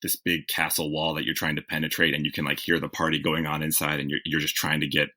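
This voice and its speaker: A man's voice: very deep voice, level tone, fast talker, pushed and bright. A man being interviewed as a TV writer, telling stories in a reflective, self-correcting conversational way. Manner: reflective, self-correcting, with frequent "like" and "you know". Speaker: guest, TV writer.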